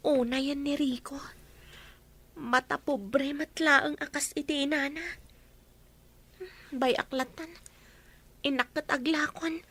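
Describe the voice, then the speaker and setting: A polite, earnest young student with an Ilocano accent speaks in a high, bright voice, played by a woman. She sounds dutiful in this radio drama. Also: steady tone, slow pace, pauses often, heavily acted. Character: dutiful student daughter Claire.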